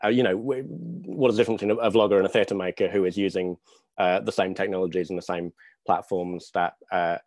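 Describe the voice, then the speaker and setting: A man in his thirties speaking on a video-call panel, thinking aloud in a hesitant, halting way with searching pauses. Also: sits low, fairly even intonation, a little mellow, no echo. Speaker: theatre maker, panelist. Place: small dim room at home, video call. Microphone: wired earphone mic on video call.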